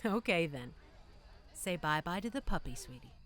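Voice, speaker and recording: A woman playing a loving, protective mother of a young child in an audio drama, with a gentle, coaxing manner. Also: lowish voice, very animated, normal speed.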